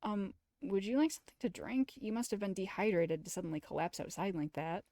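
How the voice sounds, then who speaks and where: A woman's voice: mid-range voice, lively intonation, brisk, dark tone, no room sound, studio quality, close to an everyday voice. A woman voicing an earnest, awkward young man in a visual novel, apologetic and rambling. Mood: polite, awkward.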